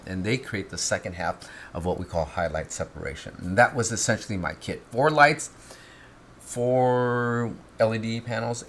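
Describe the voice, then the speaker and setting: Animated man explaining filmmaking gear. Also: low-pitched, a little hiss or hum. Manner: animated, explains step by step, teasing asides. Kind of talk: casual instructional chat.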